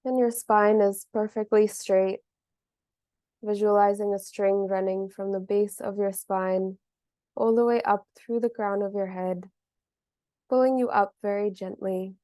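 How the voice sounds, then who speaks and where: Soft-edged woman guiding meditation, flat and mellow. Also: medium pitch, no echo, studio quality. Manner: gentle, measured, with long pauses. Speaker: meditation teacher. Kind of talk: slow guided meditation.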